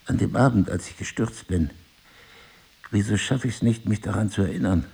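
An actor playing an intense, probing husband in an intimate audio drama, speaking in an eloquent, insistent way. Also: male voice, fairly low pitch, normal speed, vocal fry, dark tone, slightly rough, full character voice. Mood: suspicious, searching. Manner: insistent, questioning, theatrically eloquent. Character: intense, probing crime-writer husband with memory loss. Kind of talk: intimate two-person audio drama acting.

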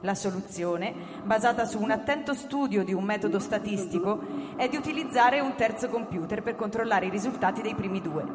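An actress playing a formal radio bulletin announcer, explaining in a measured, didactic, official way. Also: female voice, medium pitch, animated, natural voice. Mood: formal, explanatory. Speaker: radio newsreader in a drama. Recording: reverberant hall, music over the voice. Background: clear music.

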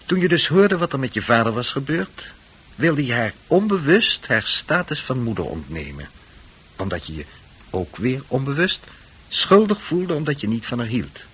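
An actor playing a composed, authoritative psychiatrist explaining things to a patient in a radio play, with a husky voice. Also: male voice, mid-range voice, sing-song, a bit bright. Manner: explanatory, measured.

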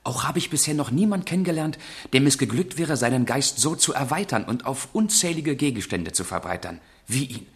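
An actor playing a polite, earnest, idealistic young gentleman in a period radio drama, speaking formally and with fervour. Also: medium pitch, lively intonation, fairly quick, vocal fry, few pauses. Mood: fervent, earnest. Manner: courteous, formal, fervent.

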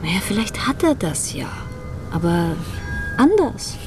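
A woman's voice: medium pitch, very animated, measured pace, over music. A woman acting a newly widowed lawyer's wife in a German radio crime drama, questioning and hesitant. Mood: wry, bitter.

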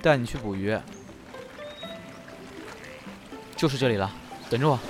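A man's voice: mid-range voice, racing, reverberant, in a large hall, over music, lightly acted. An actor playing a proud, prickly young male spirit in human form, grumbling and easily flustered, in a fantasy audio drama. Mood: irritated.

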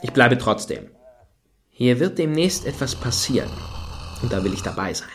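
A fault-finding man in a satirical radio drama. His medium-pitched voice swings widely up and down. He is sardonic and emphatic, piling up indignant complaints in long sentences. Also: very animated, moderate pace. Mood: sour. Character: carping, indignant male critic among an artist's entourage. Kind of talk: satirical German radio play acting.

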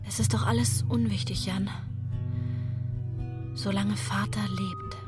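An actress playing a prisoner's young daughter, earnest, anxious and restrained, in a radio play. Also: female voice, mid-range voice, fairly even intonation, slow, lightly acted. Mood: anxious, intense. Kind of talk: German radio play acting. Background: music.

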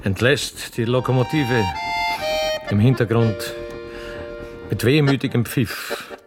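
A man's voice: medium pitch, slow, lightly acted. Man as radio play narrator over music. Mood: wistful. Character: wry narrator reading florid stage directions.

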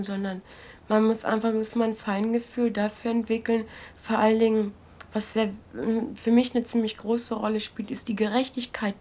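A woman speaking thoughtfully and in measured phrases, searching for words as she reflects. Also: medium pitch, dark tone. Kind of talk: reflective interview talk.

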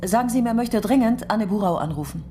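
A woman's voice: medium pitch, animated, fairly quick, over music, natural voice. An actress playing an impatient, assertive female member of parliament in a radio crime drama, curt and demanding. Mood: impatient, indignant.

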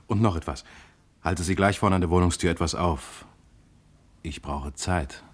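An actor playing a shrewd police inspector in an old German radio crime play, formal and measured with controlled courtesy. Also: male voice, low voice, slight background noise, close to an everyday voice. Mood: businesslike. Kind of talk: mid-century German radio crime drama acting.

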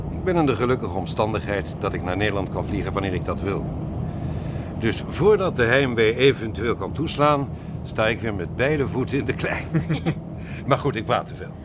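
An actor playing a genial, worldly older man, chuckling and avuncular, in a radio drama. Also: natural voice. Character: genial, wealthy older widowed expatriate man, worldly and talkative. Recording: noisy outdoor setting with vehicle rumble.

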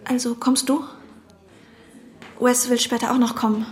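An actress playing an insistent, quietly manipulative woman in a radio play, soft-spoken but pointed. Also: a little high, flat delivery, measured pace, natural voice. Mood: gently coaxing. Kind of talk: German radio play acting.

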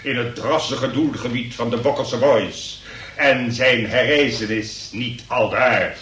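Pompous magistrate in an echoey room. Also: male voice, mid-range voice, slow, fairly bright tone. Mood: self-assured. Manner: pompous, verbose, archaic legal phrasing. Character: pompous, long-winded male examining magistrate. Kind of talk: comic radio play acting, courtroom oratory. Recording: noisy, echoey room, distant from mic.

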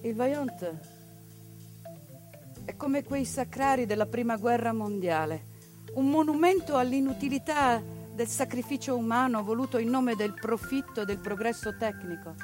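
A woman actress performing a theatrical monologue, narrating with passion and dramatic swings between hushed and loud delivery. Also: a little high, moderate pace, music in the background. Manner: impassioned, dramatic swings in loudness. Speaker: stage actress. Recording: radio broadcast of a stage piece.

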